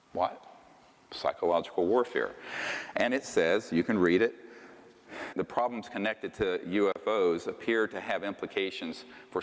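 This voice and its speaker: A man giving a public lecture with deliberate, emphatic phrasing. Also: sits low. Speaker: lecturer and UFO researcher. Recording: reverberant hall.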